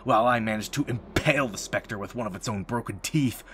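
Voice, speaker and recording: A man's voice: on the low side, fast talker, fairly bright tone, a little hiss or hum, voice pushed up, close to an everyday voice. A man playing a grim, wry space marine who narrates his battles in a sardonic, hard-boiled audio drama style. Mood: tense, urgent. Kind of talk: scripted first-person audio drama narration.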